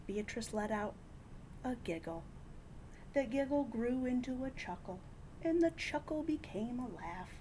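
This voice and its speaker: A woman reading a children's picture book aloud in an animated, deliberate way, with dramatic hushes and emphasis. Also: medium pitch, noisy background. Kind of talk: expressive read-aloud storytelling.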